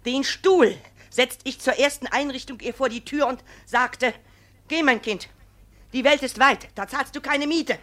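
A woman's voice: high voice, unhurried, a bit bright. An actress playing a stern, indignant mother bringing a complaint in a classic comedy radio play, emphatic and scolding. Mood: bitter scolding.

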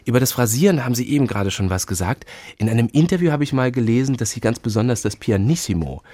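A man hosting a radio culture program, introducing music and asking his guest measured questions. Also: mid-range voice, animated, warm tone, no room sound. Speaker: radio host.

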